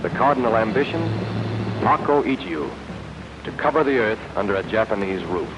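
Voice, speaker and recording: A deep-voiced man delivers scripted 1940s film narration. He is grave and emphatic, declaiming each phrase. The old recording carries obvious noise. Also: animated, normal speed, muffled recording. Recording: noisy 1940s film soundtrack.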